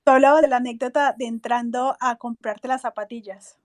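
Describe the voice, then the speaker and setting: A woman's voice: on the high side, steady tone, brisk, no echo, clean studio sound. A woman hosting a discussion in a conversational interviewing style, addressing her guests. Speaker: host, moderator.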